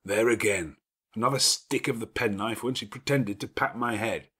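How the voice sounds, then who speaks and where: A man voicing a gruff, commanding, teasing middle-aged gentleman with elaborate, sardonic phrasing in an audiobook. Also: lowish voice, moderate pace. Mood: sardonic, challenging. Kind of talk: dramatic audiobook character dialogue.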